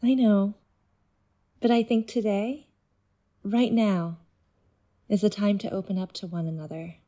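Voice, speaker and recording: A woman playing an intense, seductive nonhuman being in human guise in an audio drama, speaking in a deliberate, persuasive way. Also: mid-range voice, fairly even intonation, warm tone, lightly acted. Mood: intimate, persuasive. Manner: deliberate, measured, persuasive monologue.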